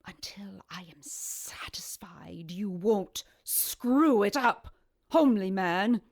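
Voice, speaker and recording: A woman's voice: mid-range voice, lively intonation, slow, a little mellow. A woman playing a menacing, imperious snake creature in an audio drama, haughty and threatening. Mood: cold menace.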